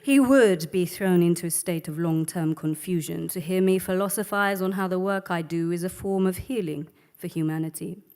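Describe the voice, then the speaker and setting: A woman's voice: lowish voice, darkish tone, natural voice. A woman voicing a worldly Egyptian woman with an Egyptian accent, reading a monologue with a dry, mocking delivery. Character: wry, worldly Egyptian woman, sharp-tongued and unimpressed.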